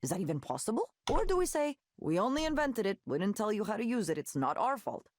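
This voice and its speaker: A woman's voice: medium pitch, big pitch swings, quick pace, noisy background, lightly acted. An actress playing a frank, restless woman who works in tech, in a visual novel game, with an earnest, questioning delivery. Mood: troubled, questioning. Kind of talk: visual novel voice acting, conversational.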